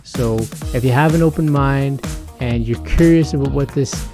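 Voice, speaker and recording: A man's voice: mid-range voice, normal speed, music in the background. A man hosting a podcast, speaking in an informal, conversational way. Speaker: podcast host. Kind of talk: podcast intro and casual interview chat.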